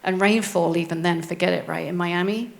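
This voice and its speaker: A woman's voice: on the low side, fairly even intonation, fairly quick, vocal fry. A woman oceanographer on a panel, speaking conversationally and tossing in rhetorical questions. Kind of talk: panel discussion.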